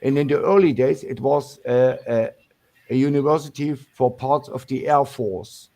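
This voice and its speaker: Older German caller with a gravelly voice, halting English, lots of restarts. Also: male voice, medium pitch, warm tone. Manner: halting, many restarts and fillers. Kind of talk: casual call-in chat.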